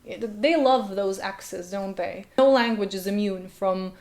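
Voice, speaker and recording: A woman's voice: mid-range voice, takes it slowly, creaky, a little mellow. Sarcastic woman linguist. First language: Slovenian. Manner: sarcastic, full of rhetorical questions. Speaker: linguist and video host. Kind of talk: commentary vlog.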